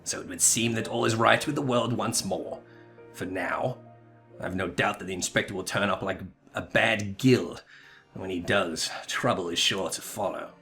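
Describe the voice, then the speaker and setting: Low, weary knight investigator, acted by a man. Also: vocal fry, pushed and bright. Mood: weary, wry. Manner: measured, dry, formal. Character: weary, wry male knight investigator, dryly courteous. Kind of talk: melodramatic voicing of video game dialogue. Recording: music behind.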